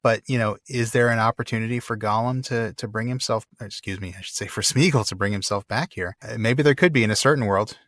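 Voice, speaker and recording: A man's voice: fairly low pitch, fast talker, hardly any pauses, fairly bright tone, no echo, studio quality. A man hosting a podcast, talking through books in a casual, conversational and emphatic way. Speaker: podcast host. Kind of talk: casual literary discussion.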